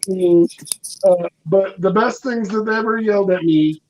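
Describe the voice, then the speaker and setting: A man performing a loud, rambling, self-mocking stand-up set over a video call. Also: sits high, big pitch swings, normal speed, gravelly, poor audio. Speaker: stand-up comic in residence.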